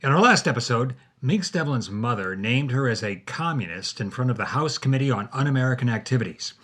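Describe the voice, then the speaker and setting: A man's voice: mid-range voice, expressive, a little fast, a bit bright. A man hosting and narrating a scripted podcast as dramatic storytelling. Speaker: host and narrator.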